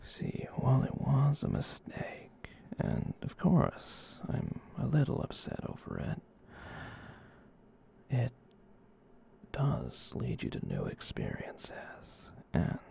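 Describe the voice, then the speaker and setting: A gentle giant catboy, acted out by a performer in an ASMR roleplay, murmuring in a heavily creaky whisper. The tone is mellow and soothing, and the speech rambles slowly and haltingly with cutesy touches. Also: medium pitch, wildly swooping pitch, exaggerated voice. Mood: reflective, reassuring. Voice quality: soft breathy whisper with creaky edge. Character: gentle giant catboy, former wizard and alchemist, comforting.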